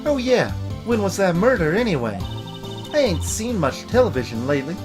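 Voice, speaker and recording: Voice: medium pitch, sing-song, moderate pace, over music, natural voice. A performer voicing a brash, friendly young country woman from a video game, with a folksy drawl and a Southern American accent. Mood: folksy, breezy. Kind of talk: video game character voice-over.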